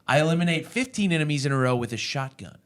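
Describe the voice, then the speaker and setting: A man casually interviewing a guest in a relaxed, conversational style. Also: on the high side, quick pace. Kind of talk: casual quiz-style chat.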